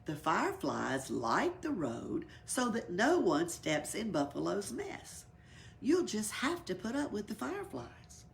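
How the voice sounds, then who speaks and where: A woman's voice: mid-range voice, wildly swooping pitch, measured pace, gravelly, full character voice. A woman voicing a male elephant village boss in a storybook, patient and authoritative though weary. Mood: patient explaining.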